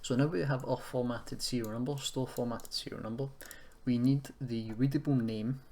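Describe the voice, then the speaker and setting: A man giving an informal software tutorial, thinking aloud in a hesitant, self-deprecating way as he goes. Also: mid-range voice, steady tone, normal speed. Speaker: tutorial presenter. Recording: noisy room with keyboard clicking.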